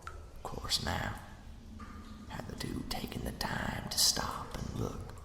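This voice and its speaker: A man narrating a ghost story as ASMR in a hushed, slow, dramatic whisper with a breathy, gravelly edge. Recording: echoey, long cavernous reverb.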